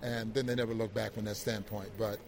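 A man co-hosting a postgame show as an analyst, casually working through his points in a halting way and repeating words. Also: lowish voice, level tone, a little hiss or hum. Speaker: sports analyst, co-host.

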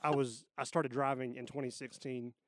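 A man's voice: medium pitch, little pitch movement, dry recording, clean studio sound. A man in his twenties co-hosting a casual podcast, cracking teasing jokes over drinks. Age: 20s. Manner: joking, teasing, quick banter. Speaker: podcast co-host.

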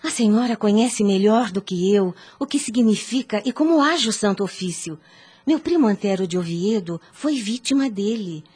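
A woman's voice: mid-range voice, animated, moderate pace, a little mellow, breathy edge. An actress playing an anguished, devout mother in a radio soap opera, speaking in a formal, pleading and emphatic way. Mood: pleading, indignant. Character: anguished, devout mother pleading to protect her young daughter.

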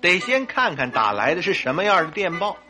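An actor playing a good-natured, courteous doctor, a detective's companion, in a radio drama, with a husky voice. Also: male voice, high-pitched, very animated, fairly quick, music in the background. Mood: good-humoured.

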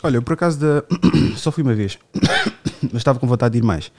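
A man hosts a relaxed podcast chat. He rambles and jokes, restarts his sentences, and has an ordinary mid-range voice. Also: mid-range voice, fairly quick. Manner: rambling, joking, with many restarts. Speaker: podcast host. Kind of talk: casual podcast interview.

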